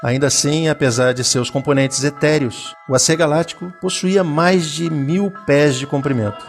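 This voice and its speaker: A man's voice: fairly high pitch, moderate pace, faint music behind. A man with a Brazilian accent narrating a scripted science fiction audio drama.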